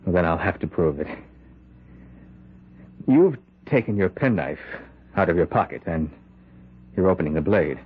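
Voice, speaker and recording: A man's voice: sits low, expressive, normal speed, pauses often, husky, phone-quality sound. An actor playing a dying man possessed by a killer's spirit, speaking urgently and commandingly in an old-time radio drama. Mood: insistent, probing.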